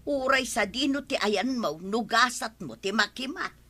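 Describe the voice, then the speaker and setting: A woman's voice: a little high, expressive, a little slow, bright, forceful tone, heavily acted. A woman playing a chatty, anxious villager in an Ilocano radio comedy drama. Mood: worried.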